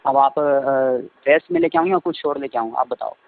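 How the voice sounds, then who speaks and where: A man's voice: mid-range voice, moderate pace, close to an everyday voice. Guy acting as a married stepson sweet-talking on a phone call, thin tinny line, hesitant and suggestive, everyday voice.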